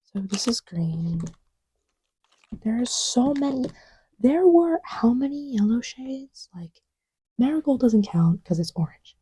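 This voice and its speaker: A woman speaking softly and often half-whispering, murmuring to herself in a casual, ASMR-style ramble. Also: fairly high pitch, sing-song, takes it slowly, long pauses, breathy edge, no room sound, low-quality recording. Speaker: audio creator.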